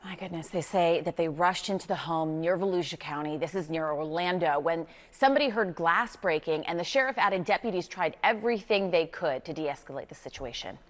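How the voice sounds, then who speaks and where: A woman's voice: on the low side, a little fast, hardly any pauses, long reverb tail. A woman with an American accent anchoring live television news and interviewing a guest.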